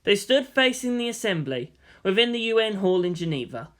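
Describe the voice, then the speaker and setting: A woman reading fan fiction aloud as narrator, steady and expressive. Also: medium pitch, brisk.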